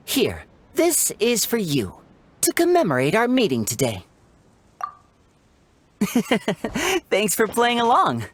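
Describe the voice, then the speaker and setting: A woman's voice: mid-range voice, huge pitch swings, takes it slowly, long pauses, slightly rough, heavily acted. A woman playing a playful young stage magician in a video game, charming, teasing and showy in delivery. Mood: theatrical, warm. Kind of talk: scripted video game character dialogue. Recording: music behind the voice.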